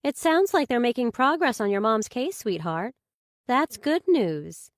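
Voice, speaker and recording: A woman voicing a kind middle-aged mother in an audiobook, gentle, reassuring and persuasive. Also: fairly high pitch, big pitch swings, a little slow, dry recording, studio quality, pitched above the performer's own voice. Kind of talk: audiobook character dialogue.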